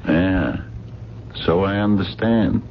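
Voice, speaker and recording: A man's voice: low voice, slow. An actor playing a skeptical, sardonic, plain-spoken man in a 1970s radio drama. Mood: flat, wry. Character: gruff, skeptical man named Muldoon, sardonic with his woman. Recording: muffled, noisy 1970s radio recording.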